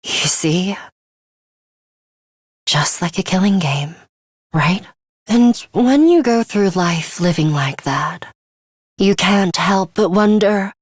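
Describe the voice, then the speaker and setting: A woman's voice: medium pitch, dry recording, studio quality. A woman voicing a cold, bloodthirsty young female fighter in an English video game, formal and menacing with theatrical flourishes.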